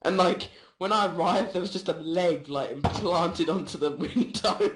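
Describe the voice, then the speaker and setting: A man's voice: high voice, normal speed. A young man telling a rambling, absurd comedy story full of fillers and giggles. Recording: echoey room.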